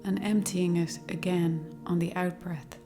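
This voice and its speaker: Calm woman guiding a meditation over music. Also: on the low side. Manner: slow, calm, measured with long pauses. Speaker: psychologist leading a guided meditation. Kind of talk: guided meditation, soothing scripted narration. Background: meditation music with singing bowls.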